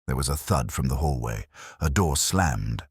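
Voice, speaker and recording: A man's voice: a bass voice, animated, normal speed, slightly rough, no echo, clean studio sound. A man reading a scripted mystery story as its narrator. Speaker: audiobook-style narrator.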